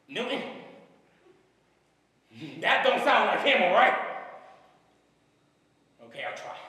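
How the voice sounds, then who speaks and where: An actor playing a stubborn, cantankerous older uncle in a stage comedy-drama, blustering but grudgingly caring. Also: male voice, very high-pitched, pushed and bright, heavily acted. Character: grumpy, stubborn uncle who grudgingly cares. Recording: very echoey hall, distant mic.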